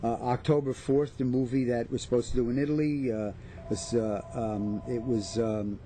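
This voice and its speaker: A man's voice: mid-range voice, fairly even intonation, normal speed, mellow, slight background noise. A man, an actor chatting casually as an interview guest. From New York/New Jersey area.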